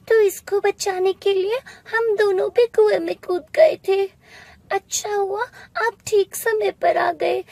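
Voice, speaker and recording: A woman voicing a pleading, childlike orphan girl in an animated moral story. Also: very high voice, dry recording, voice pushed up, exaggerated voice. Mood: tearful, grateful. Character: orphaned little girls, pleading, sweet and eager.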